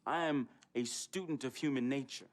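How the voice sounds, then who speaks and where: A man's voice: medium pitch, moderate pace, close to an everyday voice. An American man acting a streetwise, needling friend in a video game cutscene, slangy and insistent. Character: streetwise, needling best friend of star athlete.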